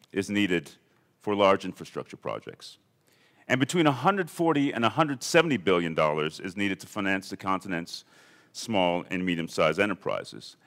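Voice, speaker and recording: A man from Ethiopia giving a prepared conference talk in a measured, deliberate way. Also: on the low side, fairly bright tone. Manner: measured, deliberate delivery with lists. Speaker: conference speaker. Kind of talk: prepared keynote speech. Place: conference hall.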